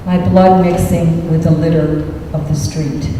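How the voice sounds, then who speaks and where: A woman's voice: low voice, little pitch movement, measured pace, warm tone. A woman poet speaking at a public reading of her work, recorded in a reverberant room. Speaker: poet reading from own book and taking questions. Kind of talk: poetry reading and audience question-and-answer. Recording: echoey hall, distant mic, faint noise.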